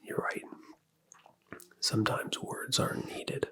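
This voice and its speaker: An ASMR performer speaking in a breathy whisper, soothing and flattering in a personal-attention roleplay. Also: slow pace. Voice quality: breathy, mostly unvoiced whisper.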